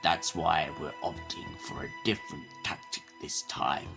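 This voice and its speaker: An actor playing a stern, authoritative male president at war in an audio drama, speaking formally and gravely. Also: rumbling low, measured pace, music in the background, heavily acted. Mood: grave briefing.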